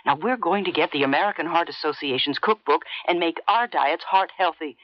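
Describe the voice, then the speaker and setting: A woman's voice: low-pitched, a little fast, dry recording. An actress playing a firm, concerned and loving wife who nags her husband in a radio sketch. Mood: earnest, concerned. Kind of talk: radio public service sketch acting. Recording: dull, dry vintage radio recording.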